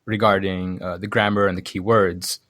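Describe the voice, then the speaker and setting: A man from Sudan explaining things casually as an interview guest, haltingly thinking aloud and correcting himself as he goes. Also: low voice, level tone, a bit bright, no echo, clean studio sound. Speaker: podcast guest, programmer.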